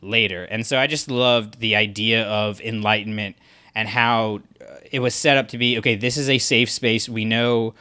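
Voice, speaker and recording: Male podcast co-host, bright tone, casual rambling chat. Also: fairly low pitch, steady tone, moderate pace. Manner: rambling, lots of fillers and restarts.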